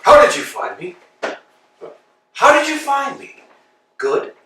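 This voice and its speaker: A grandiose, blustering landowner, played by a man in a stage reading, his bright voice swooping up and down as he takes his time over every phrase. The sound is roomy and distant, caught from across an echoing space. A faint hum of ambience sits underneath. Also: extremely high pitch, very animated, takes it slowly, exaggerated voice. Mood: pompous. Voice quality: grandiose, theatrical. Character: pompous blustering male landowner. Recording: echoey room from far off.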